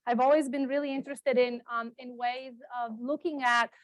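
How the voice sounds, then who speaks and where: A woman's voice: sits high, fairly even intonation, normal speed, no room sound. A middle-aged woman from Romania giving a public talk as a guest speaker, chatty and self-correcting, with many ums and repeated words, speaking at a standing microphone. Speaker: guest speaker, geography professor. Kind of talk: public lecture. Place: meeting room, also streamed on Zoom. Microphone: standing microphone, not held.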